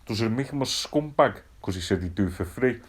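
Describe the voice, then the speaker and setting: A man's voice: lowish voice, expressive, fairly quick, close to an everyday voice. A man playing a brash, sweary hard-man podcast host, blunt and peppering his talk with "yeah, right". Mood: boastful. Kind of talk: comic casual chat.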